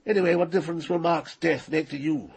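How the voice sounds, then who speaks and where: An actor playing an arrogant, cold-blooded older knight in a radio drama, precise and condescending. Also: male voice, fairly high pitch, fairly even intonation, brisk, vocal fry, breathy edge. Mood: cold, menacing. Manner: condescending, precise, coolly menacing.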